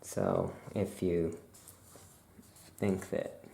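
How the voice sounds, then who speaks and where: A soft-spoken ASMR performer role-playing a laid-back witch shopkeeper, musing casually and hesitantly to a customer. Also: low voice, very animated, drawn-out words, vocal fry, slight background noise.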